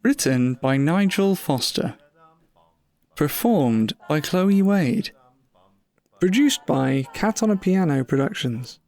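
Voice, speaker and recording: A man's voice: a little high, animated, takes it slowly, darkish tone. A man reading scripted closing credits as a podcast announcer.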